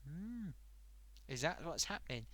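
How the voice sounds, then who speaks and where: A man's voice: fairly high pitch, sing-song, unhurried, fairly bright tone, heavily acted. A man voicing a chatty sock puppet host who rambles off topic and talks to viewers, his throat getting sore. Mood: rambling. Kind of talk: rambling comedic live-stream monologue.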